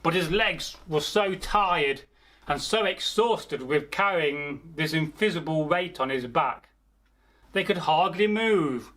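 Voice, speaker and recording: A man telling a folktale aloud as a storyteller, theatrical, pausing mid-phrase and speaking directly to his listeners. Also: on the high side, lively intonation, fairly bright tone. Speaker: storyteller, channel host. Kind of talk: oral folktale storytelling, improvised.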